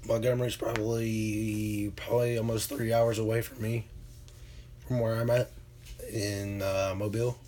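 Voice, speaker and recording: A hoarse man from Alabama, casually chatting with viewers on his livestream and answering their comments, with lots of ums and uhs. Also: lowish voice, slight background noise. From Alabama, USA. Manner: relaxed, drawn-out with many fillers. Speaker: livestream host.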